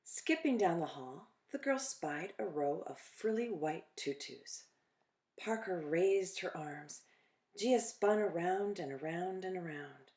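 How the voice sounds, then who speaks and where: Woman, storytime reader, slightly low voice, unhurried pace, expressive read-aloud, deliberate dramatic pauses.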